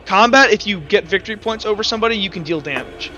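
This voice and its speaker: Bright, high-voiced man as game master. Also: lively intonation, muffled recording, faint music behind. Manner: halting, thinking aloud while narrating. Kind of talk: running a tabletop roleplaying game, casual.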